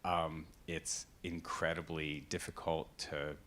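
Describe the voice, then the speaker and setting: A man moderating a panel discussion, thinking aloud in a halting way as he poses a question. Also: rumbling low, little pitch movement, a bit bright, slight background noise. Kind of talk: panel discussion at grand rounds.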